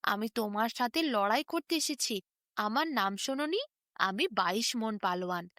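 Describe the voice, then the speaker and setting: Woman playing a boastful wrestler, high voice. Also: lively intonation, normal speed, pushed and bright, no echo, studio quality. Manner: boastful, chest-out, declamatory. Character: proud, boastful giant male wrestler spoiling for a fight.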